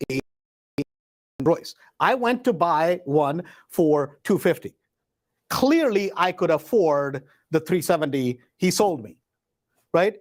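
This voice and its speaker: Male host, high voice, informal raconteur, emphatic, slangy, acts out both sides of conversations. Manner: animated retelling with acted dialogue, emphatic repetition. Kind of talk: casual anecdotal storytelling.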